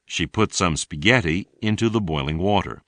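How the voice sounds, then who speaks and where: A man reading a scripted English lesson in a deliberate, clearly enunciated way. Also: low voice, a bit bright, no echo. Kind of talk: scripted read-along narration.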